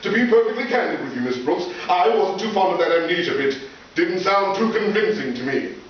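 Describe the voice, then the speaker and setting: An actor playing a pompous, irascible, scheming school principal in a live radio comedy. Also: male voice, high-pitched, lively intonation, a little fast. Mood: scheming, bossy. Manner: pompous, irascible, theatrical exclamations. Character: blustering, bossy, scheming male school principal feigning amnesia. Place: live stage performance. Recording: very echoey hall, distant, dull, hissy.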